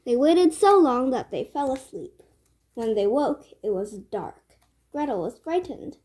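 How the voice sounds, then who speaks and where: High, mellow woman reading a children's story. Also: big pitch swings, measured pace. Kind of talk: children's read-aloud narration.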